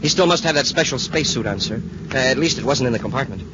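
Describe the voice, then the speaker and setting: A male actor plays an eager young cadet sidekick in 1950s radio adventure style. He is deferential yet excitable, talking fast with a lively, swooping pitch. The recording is muffled and heavily noisy. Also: lightly acted. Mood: excited. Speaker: actor in a science fiction radio serial.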